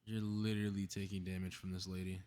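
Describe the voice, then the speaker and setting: A man plays a cocky young superhero with a fairly low voice. He mutters sarcastic, mischievous asides to himself, casually profane, in the manner of an ASMR roleplay audio drama. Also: level tone, fast, a little mellow, close to an everyday voice. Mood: scheming, amused. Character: cocky, snarky young male superhero playing invisible mischief-maker.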